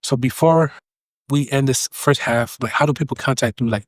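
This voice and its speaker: Male interviewer, medium pitch, dry recording, casual and informal. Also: normal speed, no room sound. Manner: informal, repeats short acknowledgements and questions. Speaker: podcast host, interviewer.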